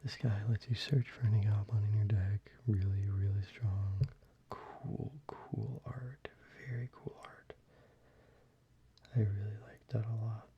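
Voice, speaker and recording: A man speaking softly, mostly in a whisper, casually showing cards for an ASMR video, with fillers and small pauses. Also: lowish voice, steady tone, dull audio, background noise. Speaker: ASMR creator. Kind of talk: soft-spoken ASMR card showcase.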